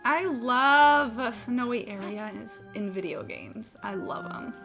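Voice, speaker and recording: Casual, sweary woman streamer with highish voice. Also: slow pace, sharp tone, faint music behind. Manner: blunt, sweary, informal. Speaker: video game live streamer. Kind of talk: casual reacting chat while gaming.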